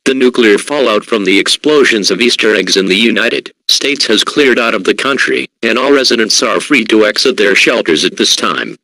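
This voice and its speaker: A man reading a formal scripted emergency broadcast as an announcer, in a measured, official and impersonal manner. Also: on the low side, animated, pushed and bright, dry recording, low-quality recording.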